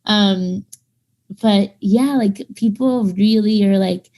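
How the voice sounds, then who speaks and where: A woman's voice: mid-range voice, slow, darkish tone. Chatty woman in her thirties, founder. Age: 30s. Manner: chatty, lots of fillers, acts out quoted people. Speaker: startup founder and CEO. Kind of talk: casual interview talk.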